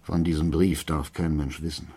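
Blustering, commanding mayor. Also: male voice, extremely low pitch, little pitch movement, moderate pace, heavy background noise. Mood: bitter, defiant. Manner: emphatic, sardonic, commanding. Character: blustering, cunning small-town mayor, crude and domineering. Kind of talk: German radio drama acting.

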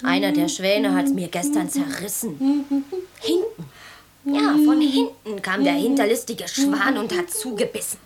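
An actress playing an earthy, chatty, grumbling woman in a radio play, telling things colloquially. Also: on the high side. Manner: colloquial, storytelling. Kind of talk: German radio play acting.

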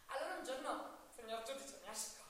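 An actress playing a naive, volatile Sicilian mafia bride addressing a judge in a stage drama, with a Sicilian accent and dramatic swings between confiding and outcry. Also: female voice, a little high, fairly quick, fairly bright tone, natural voice. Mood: excited. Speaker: stage actor. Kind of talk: theatrical monologue and dialogue. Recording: very echoey hall, distant mic, faint noise.

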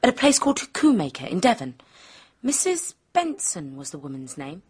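A woman's voice: fairly low pitch, very animated, normal speed, a little mellow, slightly rough. An actress in a radio drama playing an earnest, confiding, apologetic young British woman.

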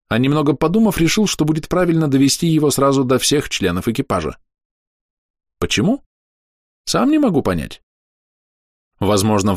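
A man narrating a novel in the first person, telling the story in a measured, conversational way. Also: fairly low pitch, no echo, clean studio sound. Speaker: audiobook narrator.

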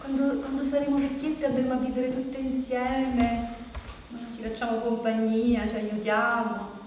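An actress playing an anxious, bitter woman nearing forty in a halting, repetitive, confessional stage monologue. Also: a little high, monotone, darkish tone, natural voice. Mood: bitterly wry. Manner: halting, repetitive, insistent, with rhetorical questions. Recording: echoey hall from far off, background noise.

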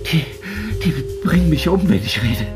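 A man's voice: a little high, animated, normal speed, close to an everyday voice. An actor in an audio drama playing a flustered male Soviet journalist, indignant and fearful under threat. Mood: frightened. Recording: loud music under the voice.

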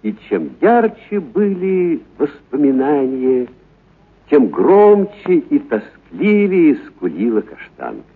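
A man reading literary prose for radio as an actor, with dramatic, deliberate pauses and stressed words. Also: on the high side, sing-song, husky. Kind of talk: expressive literary narration for radio. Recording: narrow, phone-like radio recording.

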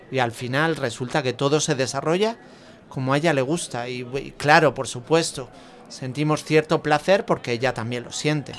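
Actor as candid, thoughtful man, accent from Spain, hesitant intimate delivery, mid-pitched voice, audio drama dialogue. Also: lightly acted. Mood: earnest. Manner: hesitant, reflective, repeats words. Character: thoughtful, outspoken man confiding intimately in a friend. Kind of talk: Spanish audio fiction dialogue.

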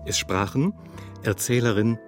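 A man's voice: lowish voice, a little slow. A man reading a scripted radio drama announcement. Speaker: announcer of credits. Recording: music under the announcement.

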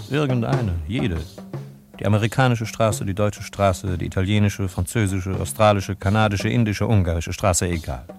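An actor playing a wry, chatty narrator who addresses the listeners in a 1950s-style German radio drama. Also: male voice, fairly low pitch, vocal fry.

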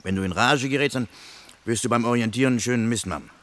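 An actor playing a grumbling, bossy lost soldier in a radio play, with coarse, needling talk. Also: male voice, mid-range voice, fast talker, fairly bright tone, lightly acted. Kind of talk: German radio play dialogue.

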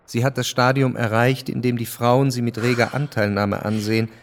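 A man giving measured, detached literary narration for a radio drama. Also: medium pitch, flat delivery, a little hiss or hum, lightly acted.

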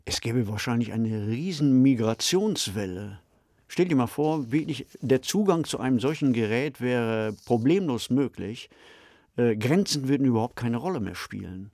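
A man co-hosting a podcast discussion with a creaky voice, engaged, questioning and emphatic. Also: mid-range voice, animated, normal speed, darkish tone, no echo, clean studio sound. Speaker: podcast co-host. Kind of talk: conversational discussion.

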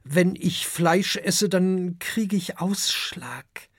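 A storybook narrator voicing an earnest, polite young male dragon, a son with a gentle, hesitant way of talking. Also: medium pitch, drawn-out words, breathy edge, dry recording, studio quality, voice pushed up.

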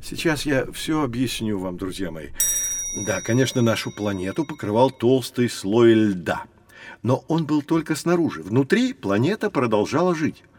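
A man's voice: mid-range voice, very animated, normal speed, darkish tone, lightly acted. A man playing a kindly, knowledgeable professor, patiently explaining things in a children's educational audio drama. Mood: explanatory. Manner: patient, didactic.

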